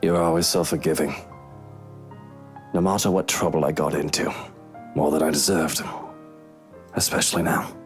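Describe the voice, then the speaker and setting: A man voicing a brooding young gentleman in mourning, speaking slowly and wistfully in a dramatic visual novel style. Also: extremely low pitch, fairly even intonation, faint music behind.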